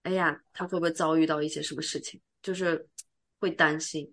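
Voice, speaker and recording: A woman's voice: deep voice, steady tone, fairly quick, a bit bright. A woman co-hosting a podcast, chatting casually and emphatically about her opinions and mixing in English words. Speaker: podcast co-host.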